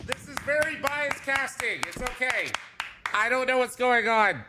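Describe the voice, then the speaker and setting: A man streaming a watch party, reacting loudly with yelling, swearing and laughter, his voice going hoarse. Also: extremely high pitch, animated, a little slow, heavy background noise. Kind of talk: excited live reaction chat.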